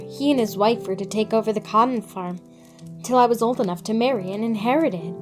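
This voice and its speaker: A woman voicing a gentle, frightened young heiress in a dramatic comic dub, speaking politely and earnestly. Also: a little high, natural voice. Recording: voice over background music.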